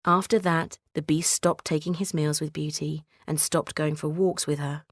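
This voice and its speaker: Female storyteller, lowish pitch, dry close recording, measured, expressive children's story narration. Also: no room sound.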